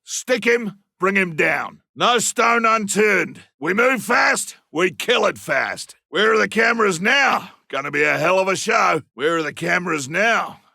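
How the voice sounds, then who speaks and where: Cocky game monster hunter, gravelly creak, wildly swooping delivery, barked combat commands, battle-hardened. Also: male voice, sits high, expressive, measured pace, few pauses, pushed and bright, no echo, clean studio sound, heavily acted. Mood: grim, determined. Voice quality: raspy, gravelly. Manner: barking, urgent combat callouts. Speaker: video game voice actor. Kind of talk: video game character voice lines.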